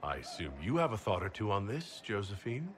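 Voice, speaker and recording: Game casting: calm heroic commander, low-pitched, measured and protective, plain-spoken, slight ambience behind. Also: male voice, a little hiss or hum. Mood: concerned, questioning. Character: calm, steady, protective heroic male leader. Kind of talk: video game cutscene acting.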